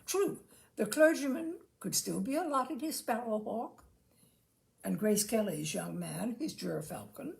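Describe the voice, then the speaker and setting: A woman giving an expressive, theatrical reading of a humorous essay, with measured phrasing and dramatic pauses. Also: medium pitch, gravelly. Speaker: reader of literary humour.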